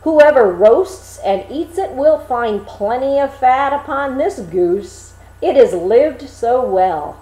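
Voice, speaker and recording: A woman as a crafty countryman, animated and unhurried. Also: high voice, roomy sound, poor audio. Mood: proud. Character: crafty countryman, grave, mock-friendly, warning trader.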